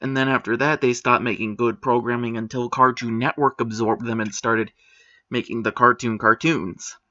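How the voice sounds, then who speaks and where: A mid-pitched man reads an online post aloud and argues with it. He stays matter-of-fact and critical, slipping in sarcastic asides. The audio is muffled and lo-fi. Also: fairly even intonation, moderate pace, sharp tone. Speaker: commentator. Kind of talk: opinionated commentary on a blog post.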